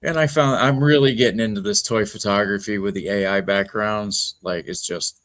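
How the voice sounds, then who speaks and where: A man's voice: fairly low pitch, steady tone, normal speed, fairly bright tone. A man hosting a toy-collecting podcast in laid-back casual chat, swearing freely. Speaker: podcast host.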